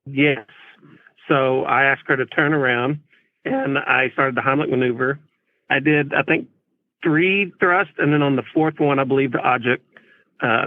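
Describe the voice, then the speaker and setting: A man's voice: medium pitch, no echo, narrow, tinny audio. A polite, plain-spoken man, a police officer, recounting events conversationally as an interview guest.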